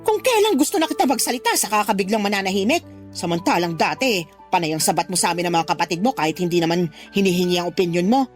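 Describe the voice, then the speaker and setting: A woman's voice: medium pitch, expressive, fast, natural voice. An actress playing a demanding middle-aged Filipino mother who scolds and guilt-trips in a radio drama. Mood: wounded, scolding. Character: demanding, nagging mother pressuring her grown daughter. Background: background music.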